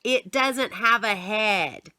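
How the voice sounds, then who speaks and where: A woman's voice: mid-range voice, slow, pushed and bright, no room sound. A woman voicing an exasperated mother who bargains firmly with her son over his toys, reading a storybook aloud. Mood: firm bargaining. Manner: firm, impatient bargaining.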